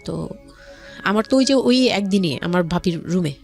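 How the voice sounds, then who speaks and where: A woman's voice: lowish voice, expressive, normal speed, vocal fry, darkish tone. Bangladeshi woman confiding, music underneath. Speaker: guest telling her life story. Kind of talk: personal storytelling in a radio interview. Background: background music.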